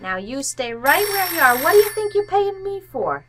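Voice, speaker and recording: A woman's voice: extremely high pitch, sing-song, normal speed, noisy background. A woman acting a brash, nosy, slangy housekeeper in an old-time radio comedy, blunt and overly familiar. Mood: bossy.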